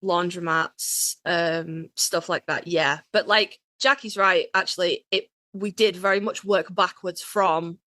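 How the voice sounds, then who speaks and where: A woman's voice: lowish voice, fairly even intonation, a little slow, few pauses, sharp tone, no echo. A woman co-hosting a podcast, chatting casually with a wry, emphatic way of talking. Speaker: podcast co-host. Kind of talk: casual chat.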